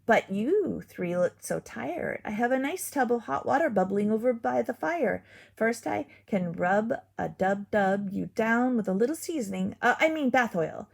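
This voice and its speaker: A woman's voice: on the high side, animated, moderate pace, vocal fry. Woman voicing a sly hungry male wolf. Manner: oily and menacing, turns soft and crooning.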